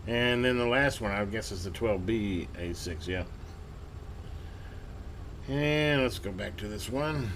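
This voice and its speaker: A man giving casual, unscripted workbench narration as a hobbyist, folksy and colloquial, thinking aloud with halting pauses. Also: on the low side, a bit bright. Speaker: hobbyist host restoring and testing old radios. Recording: clear background noise, amateur home recording.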